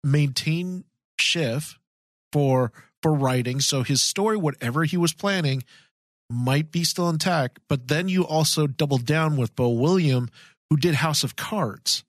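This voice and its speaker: A man's voice: a little high, a little slow, no echo, clean studio sound. A man co-hosting a casual fan podcast, speaking emphatically and repeating phrases for stress. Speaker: podcast co-host.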